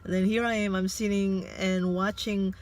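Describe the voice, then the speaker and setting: A woman in her fifties, a Tagalog speaker, telling a personal story in a casual vlog. She talks in a hesitant, reflective way and pauses often as she thinks aloud. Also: medium pitch, little pitch movement. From Philippines. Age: 50s. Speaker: vlogger. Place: inside a car.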